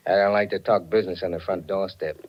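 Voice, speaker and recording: An actor playing a menacing blackmailer on the run in 1940s radio style, curt, slangy and tough-talking. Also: male voice, low voice, steady tone, fast talker, slight background noise, natural voice. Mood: sly, threatening. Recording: dull, band-limited 1940s radio recording.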